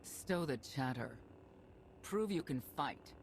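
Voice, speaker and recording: An actress playing a hardened, no-nonsense knight in a sci-fi video game, blunt and stern, with a gravelly voice. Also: female voice, extremely low pitch, lively intonation, takes it slowly, exaggerated voice. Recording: very noisy battle-filled game audio.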